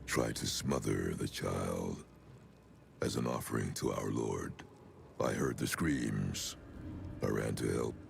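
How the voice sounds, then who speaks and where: An older man playing an ancient, sinister cult leader in a video game, with a very deep gravelly voice and a solemn, measured, archaic delivery. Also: slight background noise, heavily acted. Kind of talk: dramatic video game monologue.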